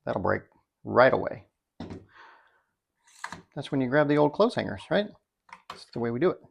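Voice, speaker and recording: A man with a medium-pitched voice that has plenty of gravelly fry talks through a product demonstration. He is casual and unscripted, thinking out loud. His manner is folksy and dryly wry. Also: very animated, moderate pace, a little hiss or hum. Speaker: product reviewer.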